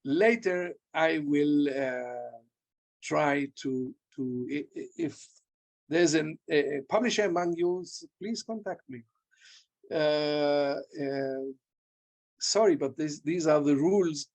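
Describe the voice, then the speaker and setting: Older man, gravelly, halting. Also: a little high, a little mellow. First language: Hebrew and German. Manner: halting, repeats and restarts words. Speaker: guest lecturer. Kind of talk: Q&A after a lecture. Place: home study lined with bookshelves. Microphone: video call, laptop webcam.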